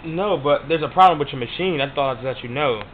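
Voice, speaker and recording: A man's voice: mid-range voice, fast. A man making a prank phone call as a customer, insistently repeating himself and talking over the other person. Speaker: prank caller. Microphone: telephone call. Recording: tinny phone line with faint noise.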